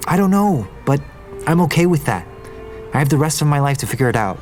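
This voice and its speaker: A man's voice: fairly high pitch, very animated, a little fast, darkish tone, faint music behind. An actor playing an idealistic young male business heir in an audio drama, earnest and conversational. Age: young adult.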